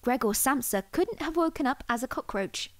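A woman's voice: high voice, lively intonation, normal speed, no echo. A woman playing an earnest, indignant and melodramatic housemate in an audio drama. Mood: reproachful. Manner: melodramatic, accusing. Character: earnest, indignant, sentimental young female housemate.

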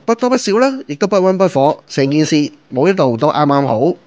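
A man with a Hong Kong Cantonese accent, giving a relaxed toy review voice-over and explaining the steps. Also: on the high side, fairly quick, dry recording, muffled recording.

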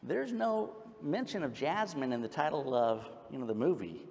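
Lively male host in a noisy hall. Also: on the high side, normal speed, gravelly. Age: middle-aged. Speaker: panel host. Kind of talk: bantering stage hosting. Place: large convention stage, indoors. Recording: reverberant hall, noisy crowd.

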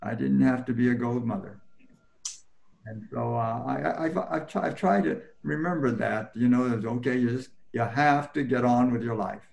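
An older man remembering his life as a guest on a video call, talking haltingly with many fillers and restarts. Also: medium pitch, gravelly, a little mellow. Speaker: panel guest. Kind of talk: personal recollection in an interview. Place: home room, indoors.

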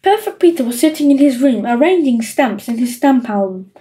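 A woman narrating a children's audiobook in an expressive storytelling style. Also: sits high, a little fast.

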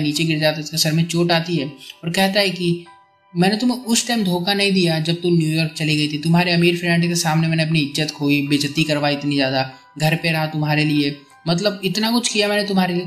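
A man tells a movie's story in a chatty, colloquial voice-over. His voice sits a little high, and he often rewords things as he goes. Soft music runs underneath. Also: quick pace. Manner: colloquial, conversational, repeatedly rephrasing. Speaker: movie recap narrator. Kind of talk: casual film plot explanation in storytelling voice-over. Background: background music.

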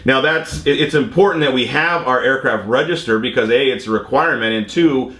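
A man's voice: mid-range voice, fairly quick, fairly bright tone. An American man in his fifties giving an informal, conversational explanation as a vlog host, repeating his key points. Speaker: vlog host, commercial drone pilot. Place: indoor workshop or studio room. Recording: roomy, echoing indoor sound.

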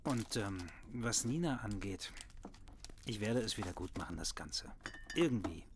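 A man's voice: fairly low pitch, expressive, unhurried, background noise. A man playing an easygoing, distractible middle-aged doctor and father in an audio drama, speaking in a casual, placating way. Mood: sheepish.

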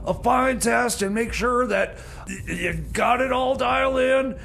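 A flustered, grumbling DJ acted by a man rambles through comedy radio banter in a high, heavily creaky voice. He stammers and doubles back on his words, with faint noise behind him. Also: fairly bright tone, exaggerated voice. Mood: hesitant. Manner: halting, stammering, repeats himself. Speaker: radio DJ and host.

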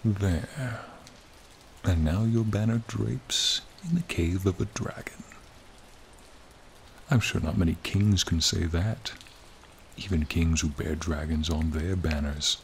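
A man voicing a charming, friendly ancient dragon in a soothing, formal, gently teasing ASMR roleplay. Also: low voice, slow pace, vocal fry, long pauses, a little hiss or hum, exaggerated voice. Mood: gracious, warm. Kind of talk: ASMR roleplay, slow intimate monologue. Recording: rain and cave ambience behind.

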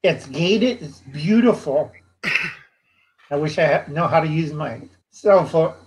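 Middle-aged guy on a headset, losing his voice, somewhat high, chatty. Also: slow, noisy background. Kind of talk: casual video call chat. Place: indoors, home. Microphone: headset on video call.

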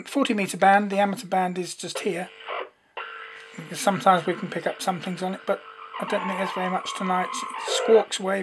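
A man's voice: sits high, background noise. A man presenting a casual, unscripted hands-on demonstration, explaining as he goes with some hesitancy. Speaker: presenter demonstrating a homemade radio.

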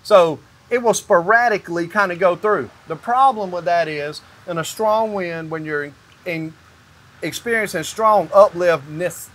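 A man's voice: high voice, fairly bright tone. A man in his fifties giving a hands-on explanation as a builder, making his points emphatically, step by step. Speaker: company owner explaining construction. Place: indoors in a metal-walled barn or shop.

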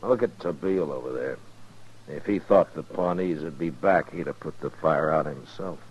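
Casting: very low-pitched steady, matter-of-fact western lawman, old muffled radio sound. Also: moderate pace, breathy edge. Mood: easygoing. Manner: terse, weighty, matter-of-fact. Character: steady, principled frontier US marshal, also narrating. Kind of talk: 1950s radio western acting. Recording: dull 1950s radio recording with music.

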